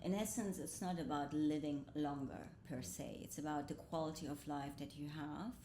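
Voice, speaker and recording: A woman's voice: fairly even intonation, normal speed. A woman who grew up between Indonesia, Germany and the US, telling her life story as a guest in a reflective way. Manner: reflective, frequent soft laughs. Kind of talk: personal storytelling in conversation. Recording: roomy echo with faint rumble.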